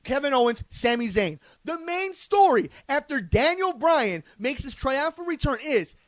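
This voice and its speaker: A man's voice: very high-pitched, big pitch swings, unhurried, fairly bright tone, dry recording, studio quality. A man co-hosting a casual sports podcast, insistently laying out his case point by point. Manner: insistent, lays out arguments point by point. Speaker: podcast co-host.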